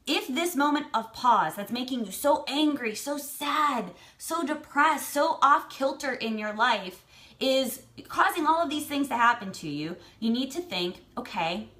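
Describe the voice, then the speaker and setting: American woman vlogger doing motivational advice, kinda high voice, blunt, really stresses stuff and repeats it. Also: lively intonation, a little slow, sharp tone. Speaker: vlogger giving personal advice. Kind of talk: motivational advice talk to camera.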